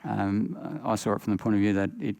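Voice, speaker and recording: An older Australian man answers questions on a panel, his low voice gravelly and rough. He talks in halting bursts full of fillers, and the recording is dry and close. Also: mellow, no room sound. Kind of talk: panel discussion.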